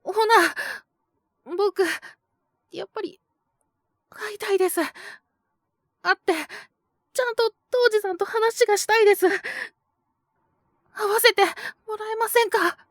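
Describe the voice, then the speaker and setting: A woman's voice: very high voice, steady tone, unhurried, pauses often, no echo, clean studio sound. A woman voicing an earnest, naive young man who speaks politely and hesitantly, pleading with resolve, in an audio drama. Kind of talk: Japanese situational audio drama acting.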